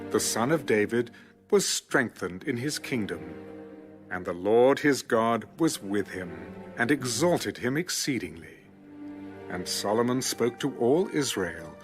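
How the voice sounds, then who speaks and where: A man narrating scripture in a measured, formal, solemn storytelling style. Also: medium pitch.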